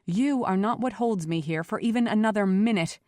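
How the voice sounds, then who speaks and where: A woman voicing a proud female mystic in an audiobook, cool, forceful and scornful. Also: mid-range voice, moderate pace, no room sound, studio quality, voice pushed up, lightly acted. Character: proud defiant female mystic facing down a mob. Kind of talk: audiobook character voice.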